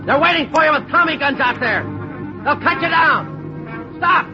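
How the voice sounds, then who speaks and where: An actor playing a terse, hard-boiled police detective who narrates in a clipped, matter-of-fact way, in 1940s radio drama style. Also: male voice, very high-pitched, normal speed. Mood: shouting orders. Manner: clipped, matter-of-fact, barks orders in the chase. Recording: dull lo-fi vintage radio broadcast with music.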